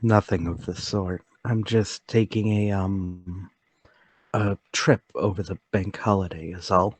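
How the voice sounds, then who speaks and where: Radio play: male actor as meek, nervous male lodger, low register, hesitant and courteous, dry close sound. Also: fairly even intonation, unhurried, slightly rough, dry recording. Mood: nervous, polite. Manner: hesitant, repeating himself, polite. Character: timid, nervous middle-class man lodger, secretly excited. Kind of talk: radio-play table read.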